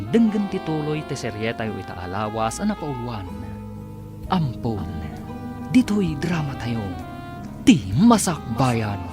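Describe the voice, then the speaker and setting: A man announcing and narrating a radio drama serial in a formal, measured way. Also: medium pitch. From Philippines. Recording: radio broadcast with music underneath.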